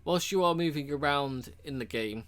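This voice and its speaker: A man casually walking through a game's achievements, relaxed and rambling, with frequent ums and uhs. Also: fairly high pitch, moderate pace, clear, resonant tone. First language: English. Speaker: game reviewer.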